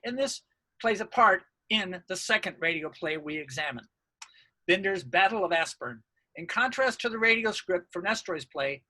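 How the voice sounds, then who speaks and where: A man's voice: high-pitched, bright, forceful tone, poor audio. A man presenting academic research, reading a scripted lecture in a formal, orderly way.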